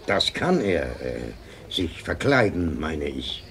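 An actor playing a grave, kindly plain-spoken rescuer in a radio play, with a raspy voice. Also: male voice, a bass voice, very animated, takes it slowly, fairly bright tone, a little hiss or hum, exaggerated voice. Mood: grave, caring. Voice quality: raspy, creaky. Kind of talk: German radio play acting.

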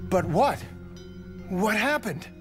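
An actor playing an intense, worried Roman warrior in a video game, with an urgent, dramatic delivery. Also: male voice, high voice, lively intonation, takes it slowly, heavily acted. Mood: worried, angry. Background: loud music.

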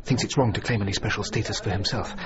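An actor playing an earnest, self-doubting male architect in a radio drama, with a halting, thoughtful delivery. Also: on the low side, fairly even intonation, lo-fi sound, a crowd in the background, lightly acted. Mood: quietly approving. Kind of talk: BBC radio drama acting.

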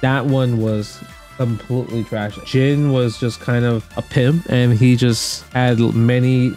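Male commentator, casual and opinionated, slangy, repeats points for emphasis, mid-pitched, light music bed. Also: level tone, a little slow, warm tone. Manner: slangy, emphatic, repetitive phrasing. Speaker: guest commentator ranking movies. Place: indoor room at home.

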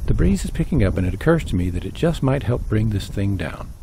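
A man's voice: on the low side, big pitch swings, quick pace, gravelly, noisy background. A man narrating his own tree-cutting video in a scripted voice-over, with dry folksy humor.

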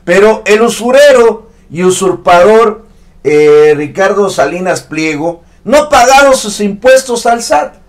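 A man's voice: sits high, animated, measured pace. A man in his thirties hosting an online news commentary show, speaking with emphatic indignation. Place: studio set with a news backdrop.

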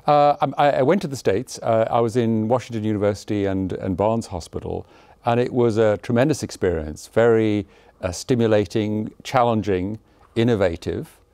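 A man's voice: on the low side, normal speed, mellow, dry recording. A man in his sixties, a cardiology professor, talking thoughtfully about his career in a studio interview, with frequent hesitations and self-deprecating humor. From Zimbabwe and Malawi. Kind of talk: relaxed conversational interview. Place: studio set with a blue backdrop. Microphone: lapel mic.

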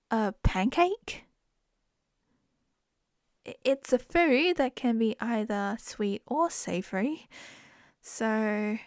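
A woman's voice: a little high, a little mellow, no room sound. A woman with an Australian accent in a close-mic ASMR roleplay as a wife, playful and giggly.

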